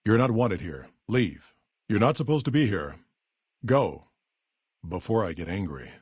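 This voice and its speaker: An actor playing a weary, level-headed super mutant town leader in a video game, with a deep, gravelly, husky voice and a blunt, measured, authoritative manner. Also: male voice, very animated, darkish tone, dry recording, clean studio sound, heavily acted. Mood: stern warning. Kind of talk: video game character voice lines.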